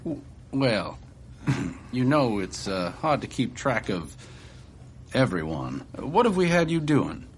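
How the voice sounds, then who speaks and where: A brash, blustering male spy chief in a radio drama. He is blunt and informal, with a mid-pitched voice, over faint background ambience. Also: sing-song, takes it slowly, pauses often, a little hiss or hum. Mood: awkward. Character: brash, blustering male American spy boss, hard-drinking.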